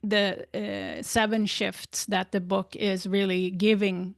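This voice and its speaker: Slow, creaky-voiced woman, closely recorded. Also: mid-range voice, flat delivery, no room sound, studio quality. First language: not English. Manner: halting, deliberate, searching for words. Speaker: interview guest, spiritual life coach and author. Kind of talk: reflective interview conversation.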